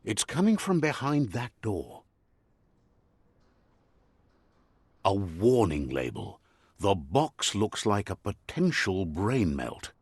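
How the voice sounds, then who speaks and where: A man playing a wry, theatrical storyteller who narrates a post-apocalyptic fable in a video game with deliberate, whimsical delivery. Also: lowish voice, wildly swooping pitch, no echo, heavily acted. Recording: clear background noise under the voice. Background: game ambience and noise.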